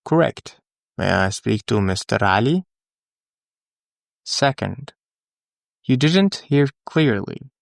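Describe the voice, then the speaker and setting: A man who is a native Arabic speaker, teaching English in a scripted, deliberate, instructional lesson style. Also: mid-range voice, animated, vocal fry, no echo, studio quality. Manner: deliberate, instructional, with pauses for the learner. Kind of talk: scripted language lesson, repeat-after-me drills.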